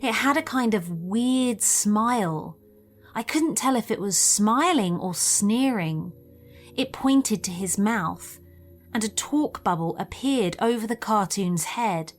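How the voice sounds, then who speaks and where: A woman's voice: mid-range voice, expressive, slow, soft background music. A woman reading a scripted first-person story as a narrator of scary tales. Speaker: narrator of listener-submitted scary stories.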